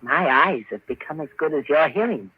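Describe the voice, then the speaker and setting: An actor playing a guarded, eerie, quiet-spoken man in a 1940s radio drama. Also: on the high side, expressive, fairly quick, breathy edge. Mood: secretive. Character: Oliver, strange-eyed man with newly regained sight, guarded and eerie. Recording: muffled old radio recording.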